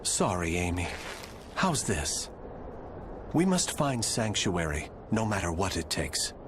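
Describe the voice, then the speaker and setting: A man's voice: low-pitched, big pitch swings, slow, noisy background, exaggerated voice. A man playing a proud, devoted swordsman and protector in a video game cutscene, speaking formally and earnestly. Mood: tender, earnest. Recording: loud music behind the voice.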